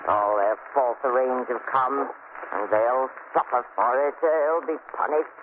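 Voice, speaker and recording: A woman's voice: rumbling low, normal speed. A woman playing a cruel, commanding plantation mistress in an old radio horror drama, imperious in manner. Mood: bitter, menacing. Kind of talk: 1940s radio horror acting. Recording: scratchy, tinny, noisy old radio.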